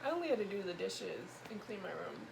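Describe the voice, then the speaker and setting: Young American woman, clearly noisy recording. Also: mid-range voice, big pitch swings, fast talker. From Massachusetts, United States. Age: young adult. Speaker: guest friend answering questions. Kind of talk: casual chat. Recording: noisy room recording, clear background noise.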